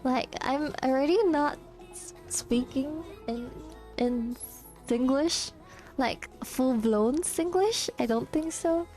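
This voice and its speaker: A woman's voice: high voice, takes it slowly, warm tone, soft background music. A young woman from Singapore with a Singaporean English accent, chatting and giggling on a casual gaming livestream. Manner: giggly, chatty, talks back to the game and chat. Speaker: streamer.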